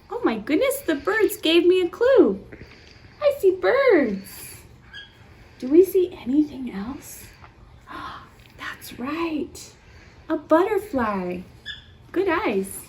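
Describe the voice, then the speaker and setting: A woman's voice: high voice, slight background noise. A woman reading a picture book aloud to young children, acting it up theatrically and putting questions to them. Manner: animated, theatrical gasps and pauses for young listeners. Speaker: children's storytime reader.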